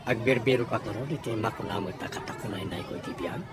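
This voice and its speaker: A man's voice: lowish voice, fast talker, close to an everyday voice. A man playing a teasing, flirtatious widowed customer in an Ilocano radio drama, with an Ilocano accent. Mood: flirty, teasing. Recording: loud street crowd and noise behind.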